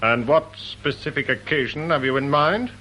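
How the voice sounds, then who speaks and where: An actor playing a British police inspector in a radio drama, formal and probing, with a dryly polite, stubborn manner. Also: male voice, on the high side, normal speed, sharp tone, poor audio. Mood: guarded, irritated. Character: dry, formal police inspector, wry and stubborn under pressure. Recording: radio recording with faint noise.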